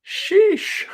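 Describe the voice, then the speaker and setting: A man in his early twenties chatting casually on a livestream, reading comments aloud and rambling with many fillers and frequent laughs. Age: 20s. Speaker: livestream host reading chat. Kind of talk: casual solo livestream chat.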